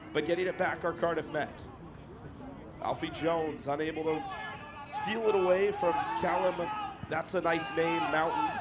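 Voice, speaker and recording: Young american guy doing play by play at a football match, kinda high voice, chatty, loud crowd behind. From United States. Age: young adult. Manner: brisk and chatty, with asides to his co-commentator. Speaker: play-by-play commentator. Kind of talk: live football commentary. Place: outdoor football ground. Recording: live outdoor broadcast with loud crowd. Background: loud crowd noise.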